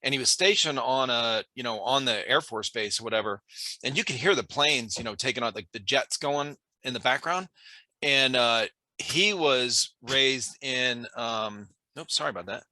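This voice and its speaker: A man's voice: medium pitch, normal speed, pushed and bright, no echo. An American man hosting a podcast, chatting in a laid-back way and calling his guest "bro". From United States. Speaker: podcast host. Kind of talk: casual chat.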